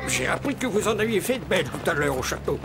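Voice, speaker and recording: An actor playing a brisk, reproving grown man looking after children in a French cartoon dub, with an urgent manner. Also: high voice, big pitch swings, loud music behind the voice.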